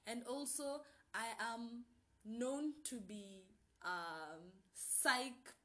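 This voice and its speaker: A woman's voice: on the high side, lively intonation, drawn-out words, pushed and bright. Kenyan woman, twenties, solo podcast host; bubbly hype-girl energy, code-switching chat. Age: 20s. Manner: bubbly, hyped, mixes Swahili slang into English. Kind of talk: casual solo podcast intro.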